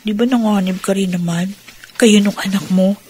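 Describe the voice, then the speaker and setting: A woman's voice: medium pitch, fairly even intonation, normal speed, a little mellow, slight background noise, lightly acted. An actress playing a polite, concerned young village woman in a radio drama, with earnest questions.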